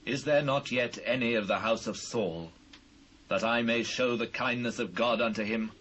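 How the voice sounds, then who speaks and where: An actor with a British accent playing a devout, gracious ancient king in a solemn, measured dramatised scripture reading. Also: mid-range voice, fairly bright tone, natural voice. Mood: gracious, commanding. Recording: noisy old cassette transfer.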